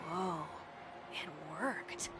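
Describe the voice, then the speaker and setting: An actress playing a snappy, sceptical companion in a video game, blunt and casual with a sardonic edge. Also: female voice, lowish voice, animated, extremely slow, music in the background. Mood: surprised.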